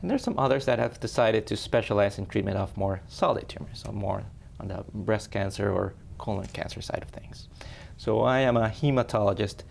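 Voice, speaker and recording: A low, deeply gravelly male voice, a man from Ecuador who works as a hematologist. He explains blood disorders patiently and in a friendly way, leaning on examples. Faint noise sits behind him. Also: brisk. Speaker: hematologist guest. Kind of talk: explanatory interview answers.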